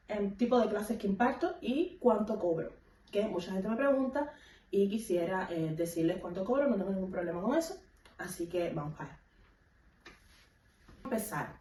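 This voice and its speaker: A woman's voice: medium pitch, warm tone, slight background noise. A woman with a Cuban accent talking casually to viewers as a vlogger, explaining things and giving practical advice in an instructive way. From Cuba. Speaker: vlogger and music teacher. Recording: echoey room, distant microphone.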